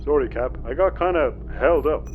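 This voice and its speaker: An actor playing a hapless, cheerful spaceship crewman in a sci-fi comedy, rambling casually with deadpan asides. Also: fairly high pitch, moderate pace, phone-quality sound, close to an everyday voice. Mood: casual, confused. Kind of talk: sci-fi audio comedy acting. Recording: roomy echo, faint music behind.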